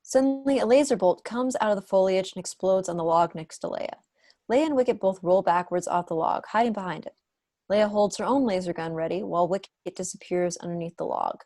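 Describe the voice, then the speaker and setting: A woman's voice: medium pitch, a little fast, dark tone, dry recording, studio quality. A woman narrator reading out stage directions at a scripted table read. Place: indoor room at home, video call.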